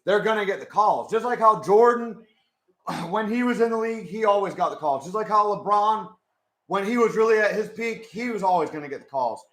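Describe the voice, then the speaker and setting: A young man with a hoarse voice, chatting casually with his live chat as he watches a football game. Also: high-pitched, animated, fairly quick, fairly bright tone, reverberant. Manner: chatty, swears, talks to chat. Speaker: live stream host.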